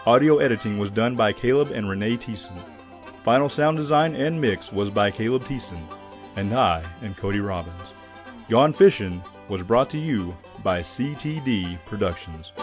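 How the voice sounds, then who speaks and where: A man giving scripted narration as the announcer of an audio drama. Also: on the low side.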